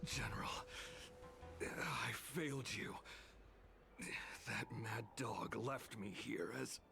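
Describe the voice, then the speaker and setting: Game role: wounded warrior, extremely slow, croaking, gasping whisper, music behind. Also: male voice, mid-range voice. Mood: pained, grim.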